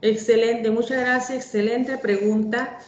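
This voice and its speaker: A woman from Panama with a Panamanian Spanish accent, giving a measured, explanatory talk on a panel. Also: fairly high pitch, fairly even intonation. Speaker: panel speaker. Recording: echoey, dull lo-fi sound.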